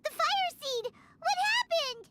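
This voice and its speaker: A woman voicing a small, childlike fairy companion in a video game, excitable and anxious, with music underneath. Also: very high-pitched, slow pace, noisy background, exaggerated voice. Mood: anxious, urgent. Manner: speaks of herself in the third person.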